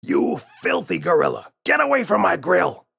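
A man voicing a stern, short-tempered father in a children's storybook, gruff and impatient. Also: high voice, very animated, normal speed, a bit bright, voice pushed up, exaggerated voice. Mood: outraged.

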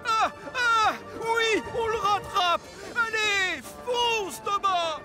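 A man's voice: very high voice, very slow, a loud crowd, full character voice. An actor playing a portly, middle-aged, bossy railway controller, a flustered man in a children's cartoon. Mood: urgent. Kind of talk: children's cartoon dub acting. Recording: loud music behind.

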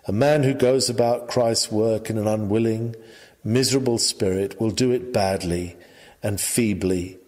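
Male sermon reader, mid-pitched, measured and emphatic oratory, hall-like reverb. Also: darkish tone. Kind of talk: sermon reading, scripted oratory.

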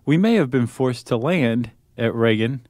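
A man's voice: mid-range voice, lively intonation, unhurried, a little mellow, no room sound, clean studio sound. An American man co-hosting a podcast in casual, conversational style. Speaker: podcast co-host.

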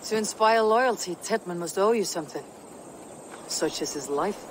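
Woman acting low, steely Viking warrior woman. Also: expressive, background noise, lightly acted. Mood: calculating. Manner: terse, measured, commanding. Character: steely, calculating female Viking warrior in her prime. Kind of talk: video game cutscene acting.

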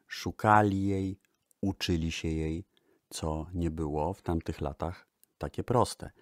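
Deep-voiced man presenting music history. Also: darkish tone, no echo, studio quality. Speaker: radio-style music presenter. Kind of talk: spoken music-history narration.

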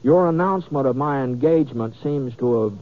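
An actor playing a bashful, flustered cowboy bachelor, hesitant and drawling, in a 1950s radio western. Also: male voice, mid-range voice, brisk, close to an everyday voice. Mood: dry, stern. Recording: dull old radio recording, clear noise.

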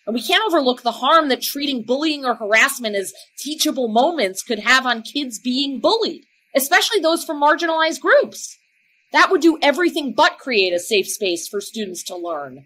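A woman acting as a protective, middle-aged mother in a school drama. She is insistent and indignant, with a fairly high voice. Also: animated, normal speed, fairly bright tone, rough recording.

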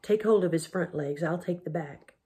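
A woman voicing a calm grown man in a storybook, speaking quietly and steadily in a husky voice. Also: lowish voice, normal speed.

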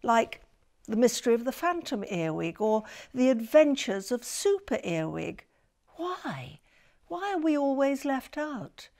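A woman's voice: fairly high pitch, very animated, a little slow, darkish tone. A woman voicing a feisty, gloomy little male earwig in an emphatic, theatrical storybook manner. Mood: aggrieved.

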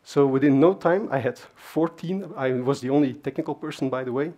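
A man's voice: mid-range voice, a little fast, dark tone. A man, giving a casual technical conference talk on a stage, full of fillers and asides. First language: Dutch. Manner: conversational, many fillers and asides. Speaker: conference speaker. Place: large dark conference stage.

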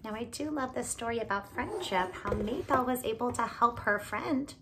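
A woman's voice: fairly high pitch, lively intonation, moderate pace, background noise. A woman in her thirties, a teacher reading a picture book aloud to children, adding friendly asides and explaining words, recorded close on a phone camera in a small room at home. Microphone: phone or laptop camera close.

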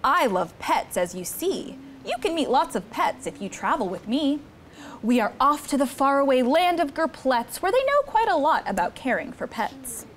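A young woman hosting story time, reading a picture book aloud to children in an expressive, encouraging way. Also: high voice, moderate pace, a little hiss or hum. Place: studio set with seated children.

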